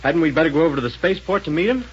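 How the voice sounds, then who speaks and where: A man's voice: fairly high pitch, lively intonation, rapid-fire, slightly rough. A man playing an eager, respectful young hero in a 1930s radio space adventure. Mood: reasoning, alert. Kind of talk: 1930s radio serial acting. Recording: dull muffled 1930s radio recording.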